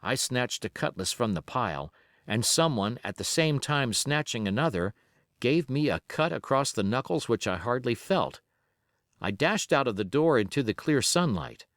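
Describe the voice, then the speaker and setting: Guy reading an adventure audiobook, dramatic and expressive, really lively intonation, close dry sound. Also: mid-range voice, dry recording. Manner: expressive, measured storytelling. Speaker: audiobook narrator. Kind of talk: dramatic scripted narration of an adventure novel.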